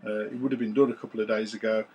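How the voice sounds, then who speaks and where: A man, his voice a bit rough from recovering after losing it, chatting to camera in a relaxed, rambling way with many ums, recorded on a camera's built-in mic in a small room. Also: on the low side, racing, muffled recording, slight background noise. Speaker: retro games collector vlogger. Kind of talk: casual chat to camera. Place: small room full of retro computers.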